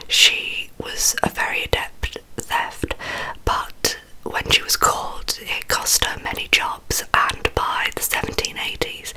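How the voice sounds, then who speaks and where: A woman in her twenties with a Northern English accent, telling a story in a soft, breathy ASMR whisper close to the microphone. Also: rough recording. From Yorkshire, England, near Leeds. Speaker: ASMR host telling true crime. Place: small bedroom, indoors. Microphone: large microphone close in front.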